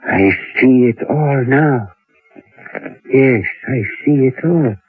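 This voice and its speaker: Tinny old-radio recording of an earnest professor. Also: male voice, mid-range voice, husky. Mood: grave. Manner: formal, deliberate, precise speech. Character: earnest, learned male professor, brilliant scientist. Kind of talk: old-time radio drama acting.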